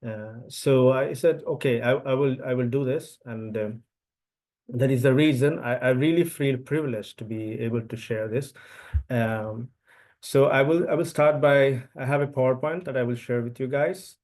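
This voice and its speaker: Brief: man from India, native Telugu speaker, mid-pitched voice, halting webinar presenter, lots of ums and restarts. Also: darkish tone. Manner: hesitant, many fillers and restarts. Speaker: event speaker. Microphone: computer mic on video call.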